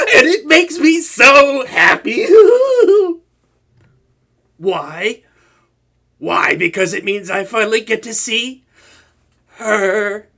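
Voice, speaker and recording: A young man voicing a boastful but soft-hearted male supervillain in a comedic audio roleplay, theatrical and stammering when flustered. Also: extremely high pitch, lively intonation, drawn-out words, long pauses, heavily acted. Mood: giddy flustered.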